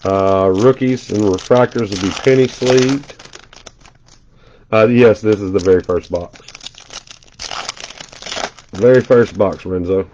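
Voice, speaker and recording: A man's voice: lowish voice, slow, pauses often, a little mellow, dull audio, a little hiss or hum. A congested man doing casual live commentary as he opens trading card packs, rattling off player names with chatty asides. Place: indoor room at a table.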